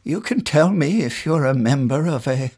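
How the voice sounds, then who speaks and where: A man playing an elderly, genteel professor in a radio drama, courteous and measured, with a husky voice. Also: mid-range voice, expressive, a little mellow. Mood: hushed, probing. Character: elderly scholarly male professor, genteel, kindly but probing.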